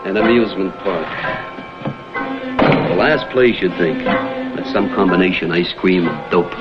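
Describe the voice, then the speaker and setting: A man's voice: low-pitched, measured pace. A man playing a cool, wry private investigator in a vintage TV crime drama, speaking in clipped, laconic lines. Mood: guarded, skeptical. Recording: dull vintage TV soundtrack, loud music behind.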